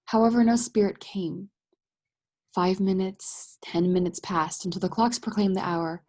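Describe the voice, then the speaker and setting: A woman's voice: on the low side, mellow, natural voice. A woman acting as the narrator of a Victorian Christmas ghost tale in a radio play.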